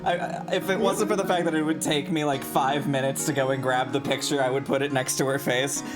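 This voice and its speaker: A man with a medium-pitched voice hosts a casual game stream. He chats loosely with viewers and friends while music plays clearly behind him. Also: steady tone, brisk. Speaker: streamer, host.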